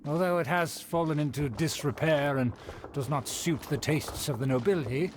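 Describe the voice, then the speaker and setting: A man's voice: on the high side, normal speed, slight background noise, lightly acted. An actor playing a pompous, condescending nobleman in a video game, a schemer who is easily offended. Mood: haughty, self-satisfied.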